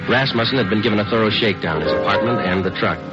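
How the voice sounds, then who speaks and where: Terse male police sergeant, acted, very low voice, flat matter-of-fact delivery, vintage radio drama, muffled sound. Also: fast, close to an everyday voice. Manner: clipped, deadpan, matter-of-fact. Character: terse, businesslike male police sergeant narrating his case. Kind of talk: 1950s radio police procedural narration. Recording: muffled old radio broadcast, loud music.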